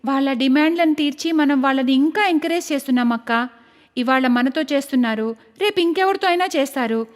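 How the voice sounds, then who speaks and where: A woman's voice: high-pitched, brisk, big-room sound, natural voice. A woman voicing a timid young village daughter-in-law in a cartoon story who speaks in an anxious, earnest way. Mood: determined.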